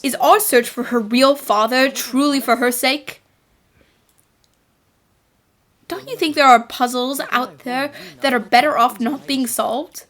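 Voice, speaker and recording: A woman voicing an earnest, polite young boy, an inquisitive apprentice, in an animated fan dub. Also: sits high, normal speed, few pauses. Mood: concerned.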